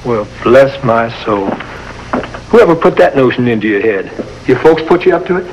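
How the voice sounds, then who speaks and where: An actor playing a gruff but kindly small-town American doctor, brusque and folksy like an uncle, in a 1950s television drama. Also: male voice, medium pitch, expressive, moderate pace, lo-fi sound, close to an everyday voice. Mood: skeptical, discouraging. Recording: noisy old 1950s television soundtrack.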